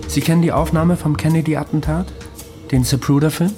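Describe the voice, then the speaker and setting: An actor in a German radio drama playing a cryptic, self-assured male patient who claims to be an immortal assassin, speaking calmly and with faint mockery. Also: a little high, a little fast, soft background music, lightly acted. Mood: calm, matter-of-fact.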